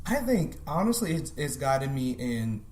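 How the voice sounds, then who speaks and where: Brief: thirty-something man, guest, thoughtful and halting, mid-pitched voice, echoey room. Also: very animated, darkish tone, slight background noise. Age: 30s. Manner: thoughtful, restarts often. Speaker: podcast guest. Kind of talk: reflective casual conversation. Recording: roomy echo.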